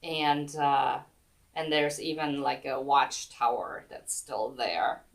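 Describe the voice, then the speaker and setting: Woman, low register, chatty guest storyteller, recorded from a distance. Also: slow, creaky. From Germany. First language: German. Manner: chatty, rambling, many ums and restarts. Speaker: guest, chair yoga instructor. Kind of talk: casual storytelling interview. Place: senior center room.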